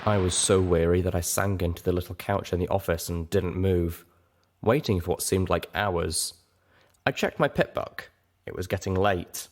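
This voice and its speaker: Male audiobook narrator, low register, dramatic first-person delivery with expressive phrasing. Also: normal speed.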